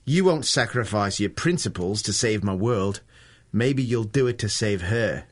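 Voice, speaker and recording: Blunt outsider, quietly threatening; radio play. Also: male voice, lowish voice, animated, unhurried. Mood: tense. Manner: blunt, menacing. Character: blunt male Australian visitor from another world. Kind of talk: radio drama acting.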